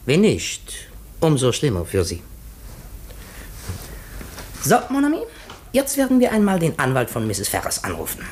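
Shrewd foreign detective, courteous, deliberate, theatrical, French phrases, animated mid-pitched voice, vintage radio drama. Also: male voice, slight background noise. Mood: brisk. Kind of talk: 1950s German radio play acting.

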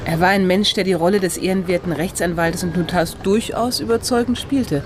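An actress playing a brisk, practical, sardonic best friend with a blunt, dry manner in a German radio crime drama. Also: female voice, fairly low pitch, background noise, close to an everyday voice. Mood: dismissive, dry.

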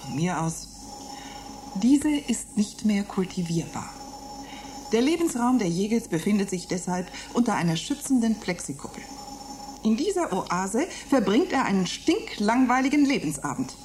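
A woman's voice: mid-range voice, very animated, moderate pace, a little mellow, a little hiss or hum, natural voice. An actress playing a bored, rebellious older woman in a radio play, grumbling and reciting with mocking scorn. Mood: scornful reciting.

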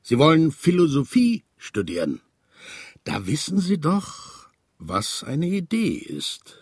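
A man playing a stern, sardonic East German army officer in a radio drama, commanding and condescending, with a gravelly, husky voice. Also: a little high, wildly swooping pitch, slow, dry recording, clean studio sound, exaggerated voice. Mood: mocking.

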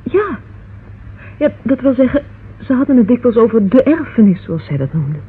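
A woman's voice: a little high, sing-song, moderate pace, exaggerated voice. An actress playing an anxious, affectionate young woman in a Dutch radio drama, hesitant and confiding. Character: anxious, affectionate young sweetheart of a police inspector. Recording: muffled, husky-sounding old radio recording.

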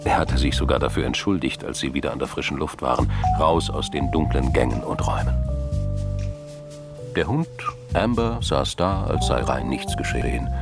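A man's voice: rumbling low, vocal fry, heavily acted. A man narrating a scripted crime drama.

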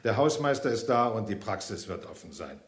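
An actor playing a scheming, impatient man in a German radio crime play, measured and hesitant, then curtly insistent. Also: on the low side, big pitch swings, echoey room. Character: scheming, impatient man talking a suspicious woman round.

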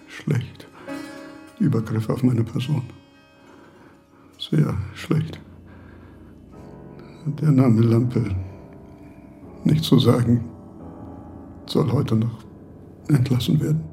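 An actor playing a frail, senile old philosopher who talks in a halting, rambling way and repeats his words. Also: medium pitch, dark tone, soft background music. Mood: hurt. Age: older. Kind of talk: radio play acting.

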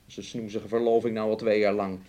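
A man's voice: lowish voice, level tone, fairly quick, mellow, natural voice. An actor playing a menacing, mocking young man in a radio play, taunting and wheedling as he speaks of himself in the third person. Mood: scornful. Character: menacing, mocking young man bullying his sick fiancée. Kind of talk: Dutch radio play acting.

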